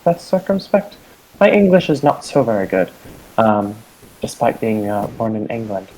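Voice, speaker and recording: A man's voice: fairly low pitch, big pitch swings, moderate pace, darkish tone, pitched below the performer's own voice, low-quality recording. A man playing a haughty, scheming aristocrat. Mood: probing. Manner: condescending, dry.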